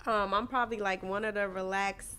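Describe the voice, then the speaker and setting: A woman's voice: medium pitch, brisk, slight background noise. A young woman from Spanish Harlem with a New York accent, talking bluntly and with energy as a podcast guest. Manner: blunt, animated storytelling. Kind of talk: casual chat. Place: small stage studio, indoors. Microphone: stand mic.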